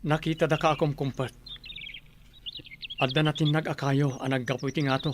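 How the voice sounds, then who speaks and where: A fairly high male voice from an actor playing a worried young rural brother in a radio drama. Birdsong and outdoor noise are clearly heard behind him. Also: level tone, brisk, close to an everyday voice. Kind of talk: radio soap drama acting.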